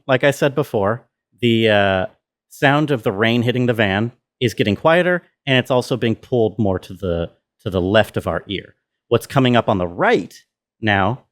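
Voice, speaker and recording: A man giving a casual tutorial walkthrough as a sound designer, thinking aloud in a halting way. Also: medium pitch.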